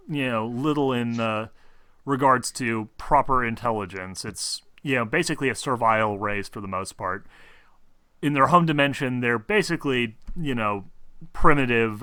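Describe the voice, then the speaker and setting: A man running a tabletop game as game master, improvising narration in a halting, explanatory way. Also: medium pitch, sharp tone, smooth, no echo.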